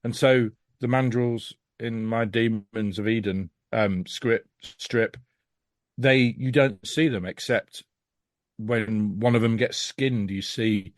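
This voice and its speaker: A man's voice: lowish voice, fairly even intonation, slow, dry recording. A man speaking as a podcast guest in casual conversation, blunt, opinionated and sweary.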